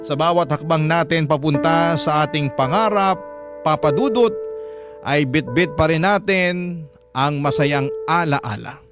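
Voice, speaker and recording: A man narrating a letter as a radio drama host, sentimental and dramatic, with drawn-out phrasing. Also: fairly high pitch. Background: prominent music.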